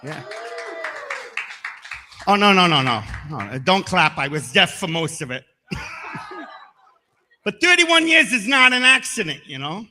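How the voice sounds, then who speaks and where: Middle-aged male stand-up, high bright voice, punchy and deadpan, live room with a long reverb. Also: unhurried, pauses often, a little hiss or hum. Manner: punchy, deadpan asides, bursts into loud shouted singing. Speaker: stand-up comedian. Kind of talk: stand-up comedy set. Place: comedy stage.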